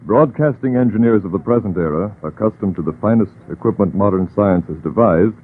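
Husky male vintage radio announcer. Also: fairly low pitch, fairly quick. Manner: formal, declamatory. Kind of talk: scripted 1940s radio announcing. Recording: tinny 1940s radio broadcast.

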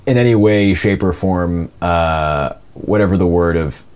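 A man's voice: deep voice, unhurried, a little mellow, clean tone. A young man, an audio drama actor and co-producer, answering interview questions in a casual, rambling way with many fillers and restarts.

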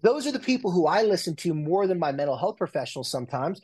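Chatty man with a higher voice. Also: big pitch swings, fast, darkish tone, slightly rough. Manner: loose and chatty, many "like"s and restarts. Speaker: guest, family and marriage therapist. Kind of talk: casual interview conversation.

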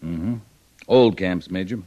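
A cavalry scout in an old-time radio western. His American voice sits low, matter-of-fact and firm, with an assured, clipped delivery. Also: slow pace, warm tone, no echo, highs cut off. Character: firm, plain-spoken cavalry scout reporting to his officer.

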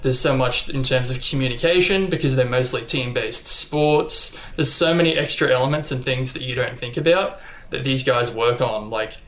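A young Australian man talking casually in an interview, relaxed and chatty with frequent ums and likes. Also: medium pitch, normal speed, few pauses. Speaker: interview guest, athlete. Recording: echoey room.

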